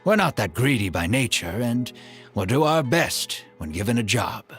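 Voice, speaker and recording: An older former mercenary in a video game, humble and polite. He speaks earnestly at a medium pitch with lively swings, and music plays clearly behind him. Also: normal speed. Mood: eager, pleading. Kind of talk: acted video game dialogue.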